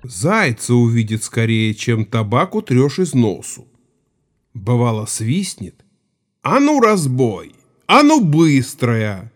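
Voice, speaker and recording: An actor playing a rustic Cossack villager who spins an eerie tale in a folksy, emphatic way, in an audio play. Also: male voice, medium pitch. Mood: admiring, excited. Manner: folksy, emphatic, exclamatory storytelling. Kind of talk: theatrical audio play acting.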